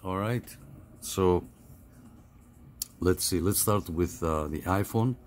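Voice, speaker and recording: A middle-aged man giving a casual, halting, step-by-step phone app tutorial over some background noise. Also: sits low. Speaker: tutorial host.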